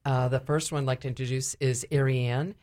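A woman speaking as a ministry director and guest in a conversational radio interview, explaining things a little haltingly. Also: extremely low pitch, steady tone, darkish tone.

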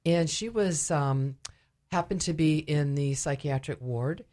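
A woman speaking as a ministry director and guest in a conversational radio interview, explaining things a little haltingly. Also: low voice, warm tone.

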